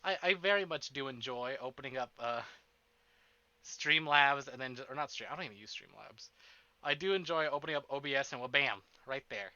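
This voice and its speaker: Bright, forceful man streaming. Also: medium pitch, fairly quick, dry recording, highs cut off. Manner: chatty, animated, self-interrupting, playfully profane. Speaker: streamer, host of a visual novel stream. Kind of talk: casual livestream chat to viewers. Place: indoors at a desk.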